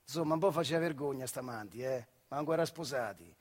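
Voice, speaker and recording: A man's voice: medium pitch, fast, husky, close to an everyday voice. An actor playing a working-class factory man, telling nostalgic, wry stories in a colloquial theatrical monologue with a central Italian accent from the Marche. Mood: fond, wry. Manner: colloquial, acting out dialogue. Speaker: stage actor, solo storyteller. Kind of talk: theatrical monologue storytelling in dialect.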